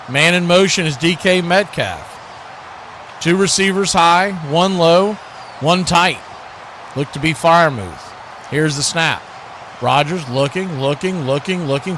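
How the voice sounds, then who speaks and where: High, bright-voiced American football announcer. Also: male voice. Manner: excitable, colloquial, repeats phrases as the action builds. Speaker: play-by-play sports announcer. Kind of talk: live American football radio play-by-play commentary. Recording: faint background noise.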